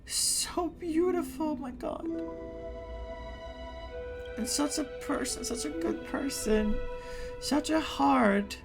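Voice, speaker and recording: An expressive game streamer with a gravelly, unhurried voice, reacting casually in exclamations. Game music plays behind the talk. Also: high voice. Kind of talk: casual live gameplay reaction.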